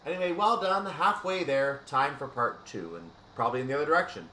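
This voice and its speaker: A man's voice: brisk, recorded from a distance. A man giving unscripted commentary as he plays a train simulator, thinking aloud and explaining each step. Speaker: gaming video host. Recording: roomy, off-mic, steady hum and rumble.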